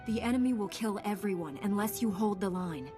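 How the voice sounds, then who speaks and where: A resolute knightly heroine voiced by a woman speaks with calm, earnest formality. The pitch is medium, in video game style, with loud music behind the voice. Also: brisk, close to an everyday voice. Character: calm, resolute female Jedi knight hero. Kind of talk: video game hero dialogue.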